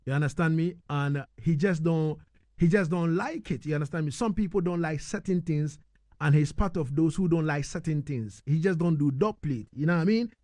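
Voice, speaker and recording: A man hosting a live music interview on radio, asking halting questions with repeated words and emphatic restatements. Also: on the high side, darkish tone, no room sound, clean studio sound. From Ghana.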